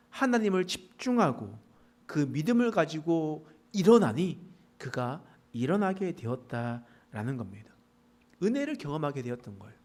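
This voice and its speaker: A middle-aged man from the Pohang countryside preaches to his congregation, and traces of a Gyeongsang dialect slip into his speech. His voice sits a little high for a man and swings widely in pitch. He tells his points in a folksy way with joking asides. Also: darkish tone. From South Korea, Pohang area. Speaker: pastor. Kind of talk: sermon. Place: church sanctuary.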